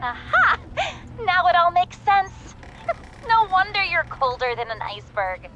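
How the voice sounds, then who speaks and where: An actress playing a cheeky, teasing companion in a video game, with a sly, playful, mocking manner. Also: female voice, very high voice, very animated, a little slow, muffled recording, music in the background, heavily acted. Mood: gleeful, mocking. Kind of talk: video game character banter.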